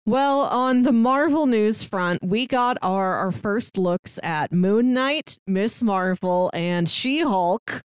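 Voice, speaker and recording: A woman's voice: medium pitch, animated, takes it slowly, smooth, no room sound, clean studio sound. A woman co-hosting a podcast, chatting casually about pop culture. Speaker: podcast co-host.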